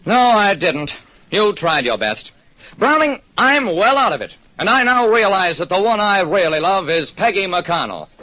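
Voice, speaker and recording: A man's voice: sits high, big pitch swings, normal speed, pushed and bright, pitched above the performer's own voice, exaggerated voice. A man playing a hot-tempered, bossy, lovesick client in an old radio detective drama, with emphatic, commanding delivery. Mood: earnest, smitten. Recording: dull, noisy old radio recording.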